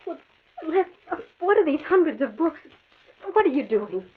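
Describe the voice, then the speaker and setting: An anxious, high-voiced radio-drama heroine. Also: female voice, very animated, moderate pace, narrow, tinny audio, slight background noise. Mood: concerned. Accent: American. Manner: earnest, urgent, questioning. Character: anxious, pleading, conscientious woman confidante of a professor. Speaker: actress. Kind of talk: old-time American radio drama acting. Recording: thin, band-limited vintage radio recording.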